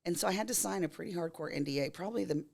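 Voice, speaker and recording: A woman in her fifties from Texas, a makeup artist telling stories in a casual interview, her voice scratchy and hoarse from a cold. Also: fairly low pitch, very fast, darkish tone. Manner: chatty storyteller, laughs often.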